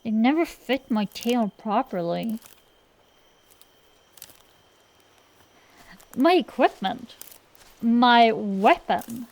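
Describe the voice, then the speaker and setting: A woman's voice: fairly high pitch, expressive, vocal fry, full character voice, poor audio. A woman playing a cocky, defensive tomboy dragon-girl sorceress in a comedic ASMR roleplay, blustering and bantering through her excuses. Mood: defensive, boastful. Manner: blustering, bantering, hesitant excuses full of drawn-out pauses. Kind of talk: ASMR roleplay, one-sided comedic dialogue.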